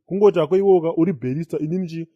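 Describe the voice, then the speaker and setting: A man playing a rapid-fire pompous Zimbabwean cartoon policeman. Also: fairly high pitch, steady tone, no echo, highs cut off, close to an everyday voice. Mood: self-important. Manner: repeats filler tags, self-important. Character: pompous male police investigator boasting about bomb disposal.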